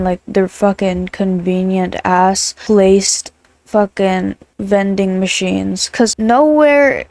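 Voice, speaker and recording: A woman's voice: medium pitch, darkish tone, no room sound. Woman, student vlogger, Filipino family; loose, sweary, rambling diary talk; dry room sound. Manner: rambling, chatty, swears often. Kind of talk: casual diary vlog.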